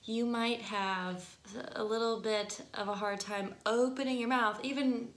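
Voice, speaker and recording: A woman's voice: on the high side, fairly even intonation, unhurried. Nasal Midwestern woman, chatty and echoey. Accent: Upper Midwest American. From northern United States. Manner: chatty, laughs easily, frequent fillers. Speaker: singing teacher. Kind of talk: casual instructional talk to camera. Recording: echoey room.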